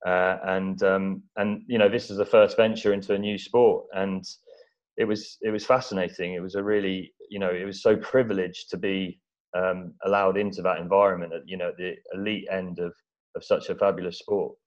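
An English man from East Anglia giving measured, modest, somewhat hesitant conversational answers as an interview guest. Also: deep voice, little pitch movement. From East Anglia, England. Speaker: interview guest, documentary film-maker. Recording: dull, lo-fi audio.

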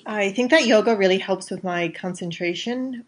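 A woman in her thirties from Chicago, a guest giving calm, measured interview answers. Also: medium pitch. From Chicago, USA. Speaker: guest, accountant and yoga teacher.

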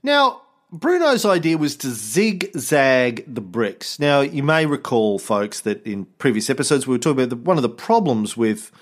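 Animated joking male podcast host. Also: medium pitch, moderate pace. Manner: joking, swears freely, animated. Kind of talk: casual chat.

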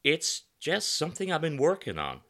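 Man voicing a macabre, wry writer, faded american accent, animated, bright, storybook character. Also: on the high side, moderate pace, voice pushed up, exaggerated voice. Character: flamboyant male writer, thirties, from old New York. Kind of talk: audiobook character voice.

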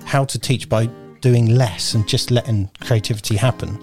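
A man speaks as a guest on a radio show, thoughtful and a little hesitant, with plenty of fillers. His voice sits mid-range. Guitar music is clearly audible behind him. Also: medium pitch, steady tone, moderate pace, a little mellow. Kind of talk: casual radio interview.

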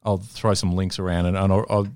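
Podcast host, low-ish voice, halting casual questions, dry close mic. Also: male voice, little pitch movement, a little mellow, clear, resonant tone, no echo. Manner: halting, repeats words while forming questions. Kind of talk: casual interview chat.

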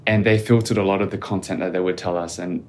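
A man speaking as a podcast guest in a calm, reflective, measured way. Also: low-pitched, slight background noise. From Australia. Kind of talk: casual interview conversation.

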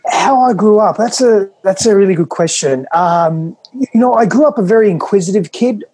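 Husky, earnest man, reflective. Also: sits high, lively intonation, moderate pace, a little mellow, dry recording. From Western Australia. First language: Greek. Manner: earnest, reflective, restarts phrases, addresses the host as brother. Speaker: podcast guest. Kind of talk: reflective interview talk.

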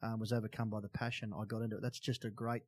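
Male Australian interviewer, mid-pitched, informal and enthusiastic, relaxed mate-to-mate phrasing. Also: fast, breathy edge, no echo, studio quality. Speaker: podcast host and interviewer. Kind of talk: casual interview chat.

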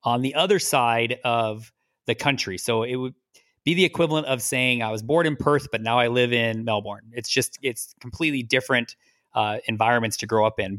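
Middle-aged man from the US, mid pitch, rambling guest storyteller, dry close sound. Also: dry recording. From United States. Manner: relaxed, rambling, repeats words. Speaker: guest, lawyer. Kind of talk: casual interview storytelling.

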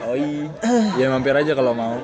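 A man's voice: medium pitch, steady tone, fairly quick. A young man from Kalimantan co-hosting a podcast, chatting casually and teasing his friend. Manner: joking, teasing banter. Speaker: podcast co-host. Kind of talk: casual chat. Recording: busy crowd noise behind.

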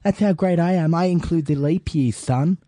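A man playing a cocky, loudmouthed wrestling persona on a podcast, boasting and calling people son. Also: a little high, normal speed, no room sound, natural voice. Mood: boastful. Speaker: wrestler guest.

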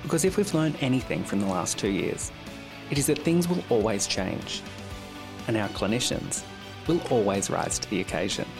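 Male narrator for a scripted promo, mid pitch, expressive with fry, guitar music clearly underneath. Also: moderate pace.

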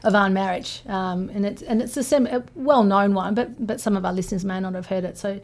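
Woman podcast co-host, mellow mid-range voice, keeps the guests talking. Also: medium pitch, fairly quick. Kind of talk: interview questions.

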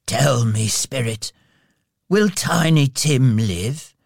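A man voicing an anxious, remorseful miser in a storybook, halting and pleading. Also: mid-range voice, expressive, creaky, no room sound, studio quality, heavily acted. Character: anxious, remorseful male miser humbled by visions.

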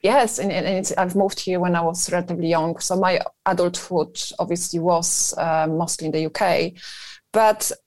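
Lower-voiced Polish woman, dry sound. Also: steady tone, moderate pace, darkish tone, no room sound. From Poland. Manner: talkative, thinks aloud with many "you know" fillers. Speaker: guest, business founder. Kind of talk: radio interview.

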